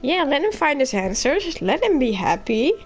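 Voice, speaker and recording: A woman gives casual gameplay commentary in a somewhat high voice. Her remarks are short, offhand and wry, with game music faintly behind her. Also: big pitch swings, moderate pace. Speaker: video game streamer.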